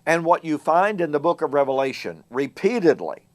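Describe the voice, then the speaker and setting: Measured, emphatic male Bible teacher. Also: a little high, very animated. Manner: measured delivery, slowing and rising in volume to stress key words. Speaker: Bible teacher and show host. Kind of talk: solo Bible teaching talk to camera.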